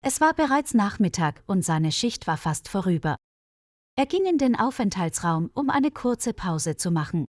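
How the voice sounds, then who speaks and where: A woman narrating a scripted story in a clear, measured way for learners. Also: big pitch swings, no room sound, clean studio sound. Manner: clear, measured reading with quoted dialogue lifted higher.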